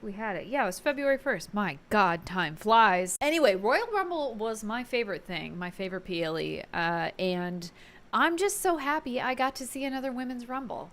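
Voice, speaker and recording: Female vlogger, casual and opinionated, gushing, expressive delivery with casual swearing. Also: mid-range voice. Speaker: wrestling review vlogger. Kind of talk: casual opinionated recap to viewers.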